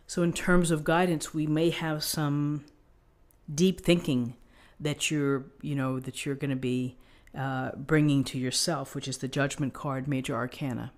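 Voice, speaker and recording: A woman giving an unscripted tarot reading, explaining things in a gently encouraging manner. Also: low voice, level tone, unhurried. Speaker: tarot reader.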